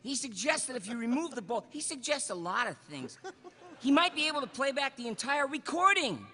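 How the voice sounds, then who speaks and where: A man voicing an animated comic robot interpreter in a live script reading. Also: very high voice, brisk, exaggerated voice. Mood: amused. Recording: echoey convention hall.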